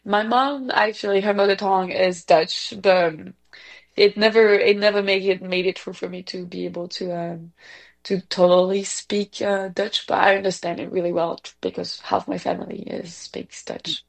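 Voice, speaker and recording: A woman's voice: medium pitch, fairly even intonation, normal speed, creaky. A woman from Belgium, a native French speaker with a Belgian French accent, chatting through interview answers with hesitant phrasing and self-corrections. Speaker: community organization representative, interviewee.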